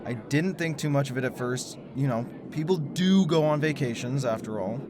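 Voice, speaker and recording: A man's voice: mid-range voice, normal speed, crowd noise, lightly acted. An actor playing an exhausted male conspiracy theorist who stammers, laughs nervously and refuses to back down. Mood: explaining. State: exhausted from lack of sleep. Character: sleepless male conspiracy theorist, stubborn, principled. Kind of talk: audio drama acting.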